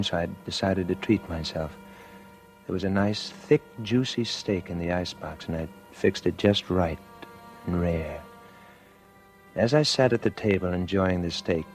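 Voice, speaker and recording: An actor playing a nervous, scheming murderer who narrates his crime in a deliberate, confessional way, in 1940s radio suspense style. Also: low-pitched, a little mellow. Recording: vintage radio drama with music underneath.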